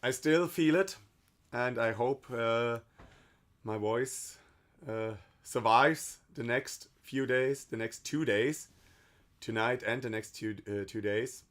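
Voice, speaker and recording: A hoarse man casually commenting while playing a game on stream, rambling loosely and swearing now and then. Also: medium pitch, slow, fairly bright tone. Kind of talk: casual let's-play commentary.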